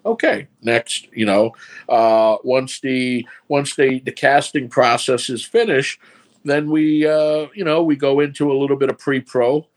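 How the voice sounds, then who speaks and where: A man's voice: mid-range voice, steady tone, a little slow. A man with a New York accent, a filmmaker talking casually through his process step by step. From New York City. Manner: rambling, explains step by step. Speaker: filmmaker guest. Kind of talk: casual podcast chat.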